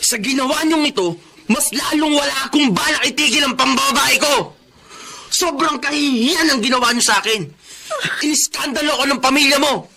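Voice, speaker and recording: An actor playing a brazen, bitter philandering husband with a menacing manner in a Filipino radio drama. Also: male voice, extremely high pitch, moderate pace, vocal fry, pushed and bright, heavily acted. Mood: angry, threatening.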